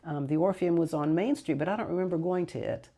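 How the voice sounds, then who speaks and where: An older woman, Greek her first language, looks back on the old days in a relaxed interview. Her voice sits low and a little gravelly, mellow in tone. She wanders from memory to memory, correcting herself as she goes. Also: fast talker. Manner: rambling, fond recollection with soft laughs and self-corrections. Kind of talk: casual reminiscing interview.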